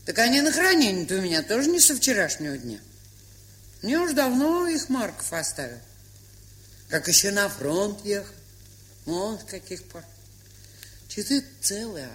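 An actress playing a gruff, stubborn but kind-hearted old aunt in a radio play, with an aged, husky, folksy, grumbling way of talking. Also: female voice, medium pitch, sing-song, normal speed, pauses often, a bit bright. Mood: defensive. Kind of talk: Soviet radio play acting.

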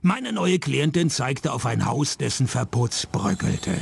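An actor playing a cynical, flirtatious private detective in a radio play, deadpan and sardonic. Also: male voice, mid-range voice, unhurried, creaky, a little hiss or hum, full character voice. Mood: wry, laconic. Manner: deadpan, sardonic, dry wit. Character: cynical, flirtatious male private detective narrating his case. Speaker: narrator and lead character. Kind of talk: radio play narration and dialogue. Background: music.

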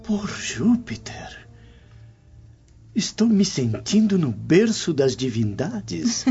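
Flamboyant young-spirited noble played by a man, animated, theatrical, muffled radio play audio. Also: fairly high pitch, slow pace, vocal fry, slightly rough, heavily acted. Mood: awestruck. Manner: effusive, theatrical. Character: enthusiastic, flamboyant Roman nobleman, charming admirer. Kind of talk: radio drama acting. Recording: dull lo-fi audio with music.

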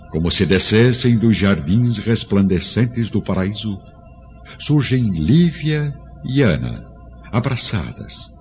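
A man's voice: sits low, a little mellow. A man narrating a devotional radio drama in a solemn, reverent manner. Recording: muffled, with music score.

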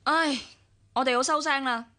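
Hong Kong Cantonese older sister, gossipy, complaining, bright high voice. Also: female voice, steady tone, normal speed. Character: sighing older sister, hurt by her brother. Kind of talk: 1990s radio drama acting.

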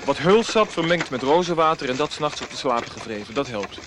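A man's voice: on the high side, animated, brisk, natural voice. An actor playing a curious, outspoken travelling physician in a radio play, exclaiming and hesitating as he speaks. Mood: blunt. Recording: noisy old radio drama, outdoor effects.

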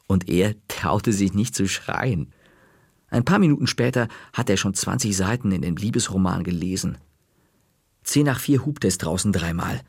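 A man narrating a children's story in a lively, expressive storybook reading with shifting pitch. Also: slightly rough, dry recording, clean studio sound.

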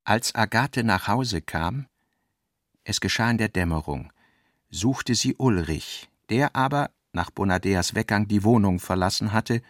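A man's voice: on the low side, unhurried, no room sound, clean studio sound. A man reading scripted literary narration for a radio play as its narrator.

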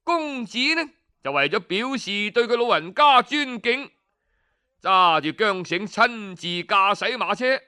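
Male storyteller, Cantonese accent, high clear voice, colloquial, dramatic, animated narration. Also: measured pace.

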